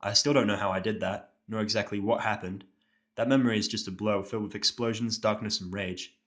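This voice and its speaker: A man's voice: on the low side, steady tone, brisk, a bit bright, natural voice. A man playing an irritable, sarcastic injured patient, blunt and cynical, in a first-person audio drama. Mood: wry.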